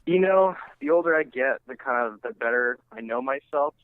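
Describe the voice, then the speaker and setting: A man being interviewed as a musician, chatting casually and haltingly, often saying "like" and "you know". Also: medium pitch, lively intonation, no room sound. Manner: halting and conversational, heavy use of "like" and "you know". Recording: thin phone-line sound.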